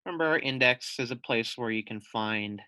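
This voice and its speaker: A man's voice: medium pitch, fairly quick, bright, forceful tone, smooth, no echo. A man giving a read-aloud lesson for young children as their teacher, explaining step by step, posing questions and repeating key points.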